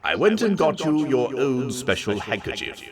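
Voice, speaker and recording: A man's voice: mid-range voice, big pitch swings, normal speed, crowd noise, pitched above the performer's own voice, natural voice. Man playing a smug, teasing janitor. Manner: smug, playful, mock-gallant. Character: wry, teasing male restroom janitor.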